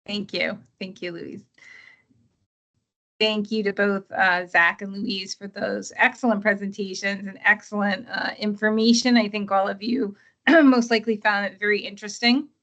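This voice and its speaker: Woman webinar moderator, flat delivery, polite; muffled lo-fi call audio. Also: mid-range voice, moderate pace. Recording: dull lo-fi audio.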